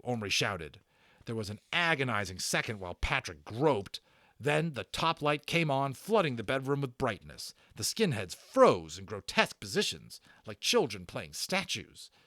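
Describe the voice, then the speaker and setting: A man's voice: lowish voice, a bit bright, no echo, clean studio sound. A man giving a dramatic, suspenseful storybook narration in a theatrical manner.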